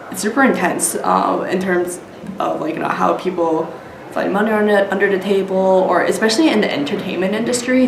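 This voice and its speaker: A woman with an American accent answering interview questions casually, peppering her speech with "like" and "you know". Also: lowish voice. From United States. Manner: frequent "like" and "you know", informal. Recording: noisy, echoey room.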